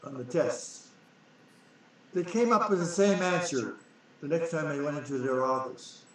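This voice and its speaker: A man in his nineties speaks from some way off the mic in a very echoey room. His voice sits a little high, and he is insistent, repeating points and tripping over words as he talks as a guest. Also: normal speed, fairly bright tone, recorded from a distance, a little hiss or hum. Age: 90s. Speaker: guest, sprout grower inventor. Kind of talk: casual interview.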